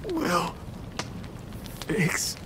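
A man's voice: very slow, background noise. An actor playing a badly wounded male mercenary in a video game, weak and pleading, his voice strained with pain. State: in pain, weakened. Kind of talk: dramatic video game acting.

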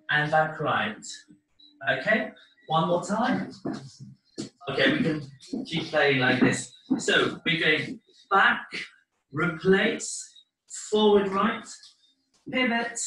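A man's voice: on the high side, pushed and bright. A man teaching a live online dance class from his living room, giving step-by-step instructions in an encouraging way. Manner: encouraging, hesitant between instructions. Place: living room at home, indoors. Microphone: laptop or webcam mic across the room. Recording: roomy, distant microphone.

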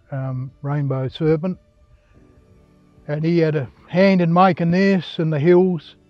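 A man's voice: a little high, no echo. Older Australian man telling stories, dull sound. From Western Australia. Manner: plain-spoken, halting, conversational. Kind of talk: informal on-location storytelling. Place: outdoors in open dry country. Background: guitar music.

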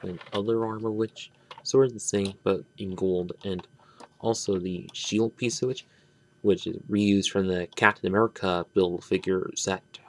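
Male hobby reviewer, deep and gravelly, halting unscripted delivery that wanders and trails off. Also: fairly even intonation, darkish tone, slight background noise. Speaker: toy reviewer. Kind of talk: unscripted hands-on product review. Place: indoors at a tabletop.

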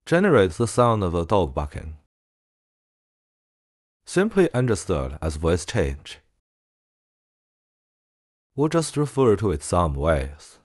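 Low-voiced man with lively pitch swings. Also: slow pace, pauses often, dry recording, studio quality. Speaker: software tutorial presenter. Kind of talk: casual screen-recorded software tutorial.

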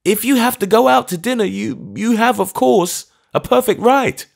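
A man's voice: very high-pitched, normal speed, vocal fry, pitched above the performer's own voice, exaggerated voice. A man voicing a meek, trembling old clergyman who stammers out anxious apologies. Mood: anxious pleading.

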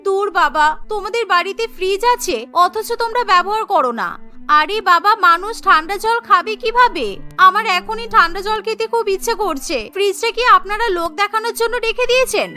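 A woman plays a hot-tempered young city bride from a cartoon. The voice is extremely high and sharp, indignant and full of complaint, over a music bed. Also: moderate pace. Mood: angry, complaining. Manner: indignant, nagging.

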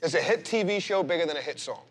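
A man's voice: fairly high pitch, lively intonation, quick pace, soft background music. A man hosting a casual round-table talk, putting questions and comments to guests. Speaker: show host.

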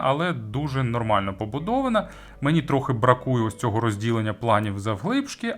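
A man giving a conversational audio gear review, speaking with hesitant pauses, stretched words and emphatic jumps on key phrases. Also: on the low side, fairly bright tone. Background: background music.

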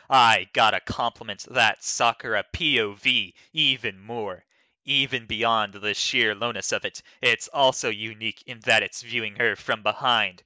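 Slow, very bright theatrical male reader. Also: lowish voice, dry recording. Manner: theatrical, deliberate, measured delivery. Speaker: dramatic reader of an online comment. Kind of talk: dramatic scripted reading.

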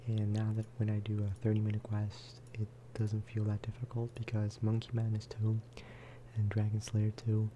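A man giving a relaxed, casual ASMR gameplay commentary in a soft, breathy whisper, close to the microphone. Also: on the low side, moderate pace, slight background noise. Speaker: video game streamer and host.

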